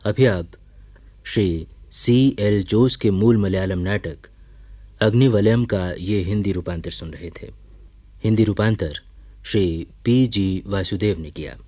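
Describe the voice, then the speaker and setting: A man reading scripted closing credits as a radio announcer, formal and measured, in a studio. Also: fairly low pitch, warm tone, no echo.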